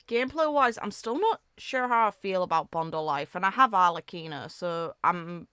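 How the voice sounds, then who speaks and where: A woman's voice: on the low side, a bit bright, clear, resonant tone, no echo, studio quality. A woman giving casual, conversational video game reaction commentary, musing aloud as she goes.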